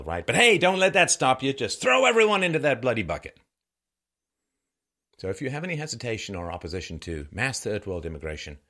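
Man, slightly low-pitched, forceful bright tone, sarcastic commentary, theatrical emphasis, rhetorical. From born in Ireland, grew up in England. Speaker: host of a political commentary show. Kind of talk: opinion commentary monologue.

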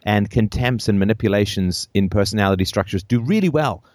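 A man hosting a call-in show, talking in a lively, emphatic way and repeating phrases for effect. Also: fairly low pitch, a little fast, no echo, studio quality.

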